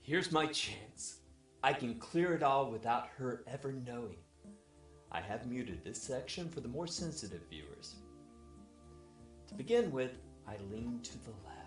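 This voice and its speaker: A man reads a scripted comic tale in the first person, addressing his audience like a bedtime storyteller. His voice sits at an average pitch and has a mock-formal manner. The recording is roomy and echoey. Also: sing-song, unhurried, long pauses, soft background music. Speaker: storyteller, webcast regular.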